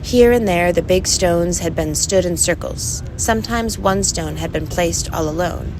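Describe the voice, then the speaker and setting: A woman reading a storybook aloud in an expressive way, with occasional stumbles. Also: lowish voice, unhurried, noisy background. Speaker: audiobook reader. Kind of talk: scripted storybook narration with character voices.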